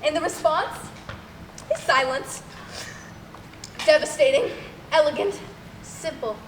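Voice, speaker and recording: Pompous high-voiced radio playwright played by a young woman. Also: very animated, slow pace, heavily acted. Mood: imperious. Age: young adult. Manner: grandiose, bossy, declamatory. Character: pompous, domineering radio playwright and on-air announcer. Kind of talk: theatrical stage comedy acting. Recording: roomy noisy stage hall, far mic.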